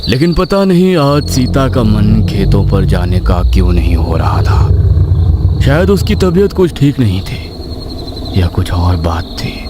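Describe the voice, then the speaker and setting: Deep-voiced man narrating horror, very animated. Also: slow pace, over music. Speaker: storyteller. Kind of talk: dramatic scripted horror narration.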